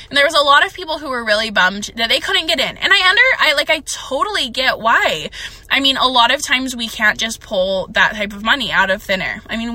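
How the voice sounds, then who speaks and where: Young woman host, solo phone podcast, very bright, dry close sound, nasal from a cold, rambly and excited. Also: a little high, no echo. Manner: chatty, excitable, rambling with fillers. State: stuffed up with a cold. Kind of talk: casual solo podcast monologue.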